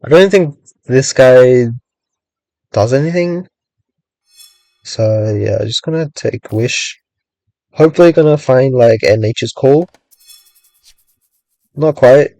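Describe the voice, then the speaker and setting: A young man giving casual, rambling commentary on his own gameplay, thinking aloud with lots of "like"s and a voice gone a little raspy from hours of talking. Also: medium pitch, expressive, slow, long pauses, mellow, no echo. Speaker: gaming streamer.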